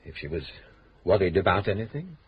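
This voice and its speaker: An actor playing a polite, probing police inspector in a radio drama, courteous and measured. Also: male voice, low voice, big pitch swings, lo-fi sound, a little hiss or hum. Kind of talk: 1970s-80s radio drama acting.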